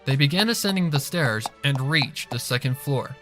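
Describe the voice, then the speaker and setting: A man's voice: medium pitch, animated, moderate pace, a bit bright. A man reading a fan fiction story aloud as scripted narration. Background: music.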